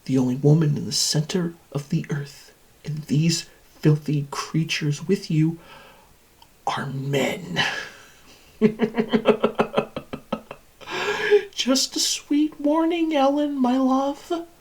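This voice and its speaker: A man's voice: fairly high pitch, steady tone, takes it slowly, darkish tone, breathy edge, heavily acted. A man voicing an insane, sadistic supercomputer that hates its human captives, in a theatrical and menacing villain monologue. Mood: taunting, sinister. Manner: theatrical, menacing, swinging from whispers to screams.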